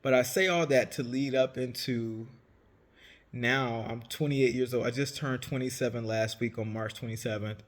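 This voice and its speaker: A man's voice: medium pitch, fairly even intonation, normal speed. A man in his late twenties, raised in Savannah, Georgia, talking casually and rambling, often correcting himself. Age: 20s. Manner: rambling, self-correcting, chatty. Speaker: vlogger, entrepreneur. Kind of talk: casual storytelling monologue.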